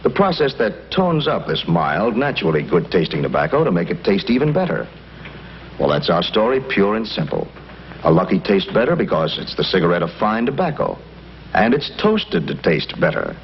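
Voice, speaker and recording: Deep-voiced American commercial announcer. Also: male voice, very animated, normal speed. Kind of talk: scripted 1950s TV ad narration. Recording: muffled 1950s TV broadcast, faint noise.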